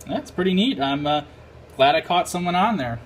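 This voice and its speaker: A man's voice: sits high, animated, moderate pace. An American man talking casually and a little hesitantly as an amateur radio vlogger, on a handheld camera indoors. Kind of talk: casual vlog talk. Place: small apartment room, indoors. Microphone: handheld camera mic. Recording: clear background noise, handheld camera.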